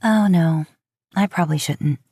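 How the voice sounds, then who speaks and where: A woman's voice: sits low, a little slow, warm tone, husky, no room sound, clean studio sound. A woman voicing a nervous, chatty young woman who wants to teach, earnest and breezy, in an audio fan fiction. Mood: breezy, flustered.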